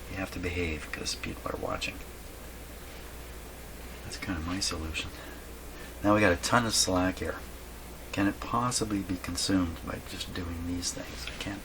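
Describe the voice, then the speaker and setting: A man giving unscripted, halting commentary as he thinks aloud while doing hands-on repair work. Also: low voice, steady tone. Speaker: radio repair hobbyist narrating a workshop video. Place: indoor radio repair workshop. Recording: clearly noisy workshop recording.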